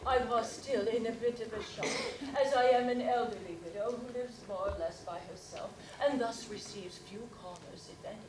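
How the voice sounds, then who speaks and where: A woman's voice: on the high side, normal speed, hardly any pauses, a crowd in the background, lightly acted. A woman performing a comic monologue as a prim elderly widow with genteel, mannered speech and frequent laughter. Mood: prim, giggly. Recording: roomy, distant mic with background noise.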